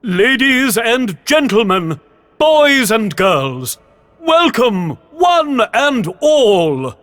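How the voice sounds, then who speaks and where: A man's voice: very high-pitched, sing-song, very slow, fairly bright tone, exaggerated voice. A man voicing a showman circus ringmaster who announces in a grand, rousing way. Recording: game music behind.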